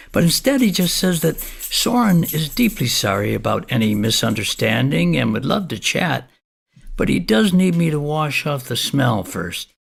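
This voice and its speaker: A folksy neighborhood guy recounting events in an audio comedy drama. His mid-pitched voice is extremely creaky and rough. He speaks plainly and emphatically, wary yet easily won over. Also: fairly bright tone, full character voice. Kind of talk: conversational storytelling monologue.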